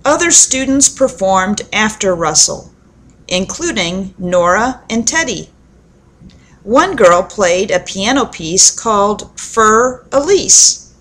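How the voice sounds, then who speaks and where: A woman telling a children's story aloud in an expressive, deliberate storybook manner, with dramatic pauses. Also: medium pitch.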